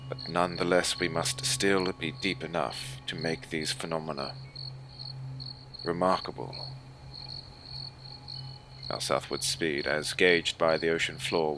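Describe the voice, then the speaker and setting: A man as a very slow, deep-voiced haughty officer. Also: heavily acted. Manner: formal, measured, grave. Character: cold, haughty German naval officer recounting a horror. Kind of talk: scripted audiobook horror narration. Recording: clear ambient noise bed behind voice. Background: ambient soundscape.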